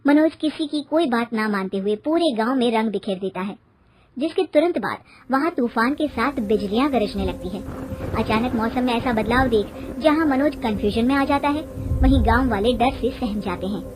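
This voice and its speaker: High-voiced woman narrating a cartoon story. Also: animated, moderate pace, noisy background.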